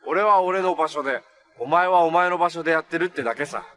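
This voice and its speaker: A man's voice: on the high side, fast talker, a bit bright, close to an everyday voice. A young man acting an upbeat, kind-hearted adventurer and hero in a live-action TV superhero drama, speaking in an earnest, friendly, boyish way. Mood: warm, reassuring. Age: young adult.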